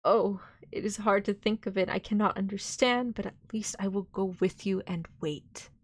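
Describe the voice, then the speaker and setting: A woman's voice: mid-range voice, steady tone, normal speed, no echo, natural voice. A woman voicing a grieving, outraged young English nobleman in a read-aloud novel, speaking in passionate, pleading outbursts. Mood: broken.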